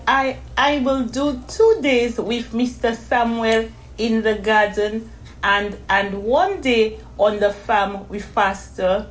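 A woman's voice: on the high side, low-quality recording. A woman voicing a sleepy young girl who stammers and yawns through her words. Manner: stammering, breathy, drawn-out yawning speech.

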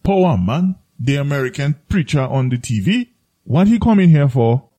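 Curious village neighbour, male actor: drama role, Caribbean accent from Dominica, soft dark tone, teasing and familiar. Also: fairly high pitch, expressive, normal speed. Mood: curious, concerned. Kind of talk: dramatised dialogue in Caribbean creole English.

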